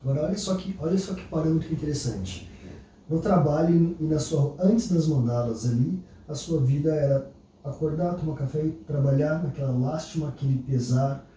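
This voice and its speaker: Mellow-voiced Brazilian man, echoey room. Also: fairly high pitch, normal speed. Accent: Brazilian Portuguese. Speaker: podcast co-host. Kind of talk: casual conversational commentary. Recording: echoey room, distant mic, faint noise.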